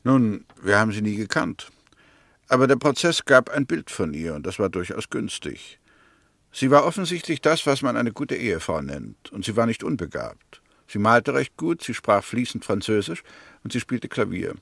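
Sceptical English case analyst for a 1960s radio drama: mid-pitched, gravelly creak, deliberate and precise, dry studio sound. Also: male voice, sing-song, fairly bright tone, no echo, exaggerated voice. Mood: reasoned. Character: Mr. Hämmerte, probing, sceptical Englishman arguing a murder case. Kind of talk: 1960s German radio play, measured narration and debate.

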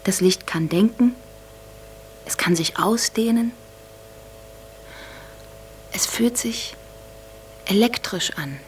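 An actress playing an entranced young woman in a radio drama, describing her consciousness haltingly and hushed, with long pauses. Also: medium pitch, a little hiss or hum, close to an everyday voice. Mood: hushed, wondering. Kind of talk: slow meditative monologue in a radio drama.

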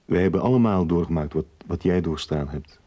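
An actor in a radio drama playing a gentle, reassuring man who leads a support group. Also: low-pitched, brisk. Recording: dull, band-limited sound.

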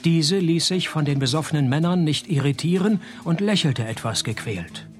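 An actor playing a wry, sardonic private detective in a comic noir radio play, narrating and talking in deadpan. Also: male voice, a little high, moderate pace, faint music behind, natural voice. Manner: deadpan, sarcastic. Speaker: narrator and lead character.

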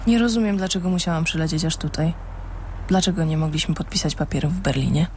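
An actress playing a guarded, businesslike female finance director in a radio drama, creaky, terse and direct. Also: low-pitched, quick pace, a little mellow, a little hiss or hum, close to an everyday voice. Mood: guarded, impatient.

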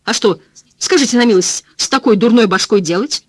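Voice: mid-range voice, brisk, no echo, voice pushed up, natural voice. A reader voicing a blunt, foul-mouthed woman cleaner who exclaims and rants in plain folksy speech. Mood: indignant.

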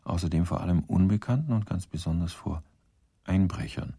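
A man with a low, creaky voice narrates a radio drama. His delivery is deadpan and formal, with a crisp edge and a very dry, close sound. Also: brisk, no echo.

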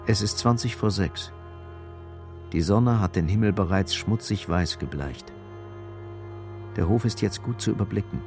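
A man narrating a crime radio drama in a grave, detached, scripted style. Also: on the low side. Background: music.

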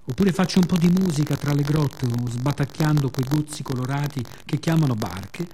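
A man as the playful, boastful, mellow sea. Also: fairly high pitch, slightly rough. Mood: playful, musing. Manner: playful, musing, drawn-out words, sound imitations. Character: the sea, playful boastful old-as-nature being, mocking humans.

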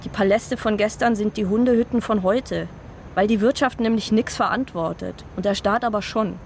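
A woman's voice: mid-range voice, moderate pace, creaky, a little hiss or hum, lightly acted. An actress playing a disillusioned older woman, once a radical, who talks in earnest, reflective speeches. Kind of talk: radio drama acting.

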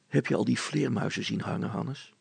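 A man voicing a practical, gruff middle-aged father in a storybook, talking colloquially with some hesitation. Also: lowish voice, normal speed, no room sound, heavily acted. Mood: calm, practical.

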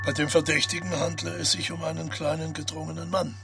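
An actor playing a weary, solitary murderer who calmly recalls his deed in a reflective radio drama monologue. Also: a little high, fast talker, loud music behind the voice. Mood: brooding, matter-of-fact. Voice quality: husky, gravelly.

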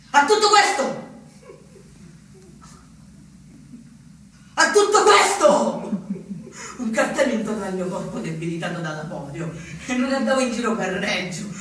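An actor playing a rebellious young anarchist in an impassioned, insistent theatrical monologue. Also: male voice, very high-pitched, sharp tone, full character voice. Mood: defiant protest, then bitter, subdued. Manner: impassioned, insistent, with emphatic repetitions. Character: rebellious young male anarchist from southern Italy, defiant outsider. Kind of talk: theatrical first-person monologue. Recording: echoey distant stage recording with music.

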